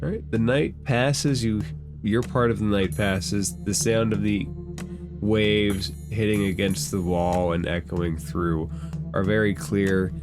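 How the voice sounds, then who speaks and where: A man's voice: on the low side, fairly even intonation, slow pace. A man acting as dungeon master, running a tabletop game with background music. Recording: loud music behind the voice. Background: loud background music.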